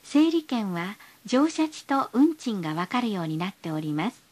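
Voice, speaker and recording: A woman's voice: medium pitch, sing-song, normal speed, darkish tone. A woman reading scripted bus stop announcements in a polite, formal, carefully enunciated way.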